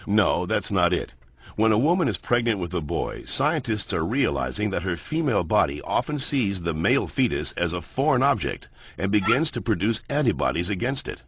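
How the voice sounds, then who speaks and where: A man playing a genial, wry science narrator in an educational cartoon, explaining in measured phrases. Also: low-pitched, sing-song, fairly bright tone, husky, no echo, full character voice. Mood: measured, authoritative. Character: genial male science narrator moderating a debate. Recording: music behind the voice.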